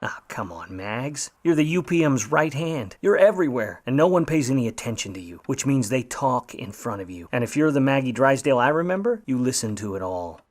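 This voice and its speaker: An actor playing a wry, slangy, smooth-talking private eye in an old-time radio noir drama. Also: male voice, medium pitch, big pitch swings, fairly quick, few pauses. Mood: coaxing. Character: grudging, smooth-talking hardboiled 1950 Hollywood private eye.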